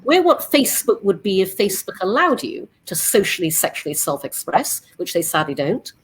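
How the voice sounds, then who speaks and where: A woman in her sixties, originally from England, introducing her company on a video-call panel in a measured, emphatic way. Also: mid-range voice, very animated, slightly rough, poor audio. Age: 60s. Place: apartment living area.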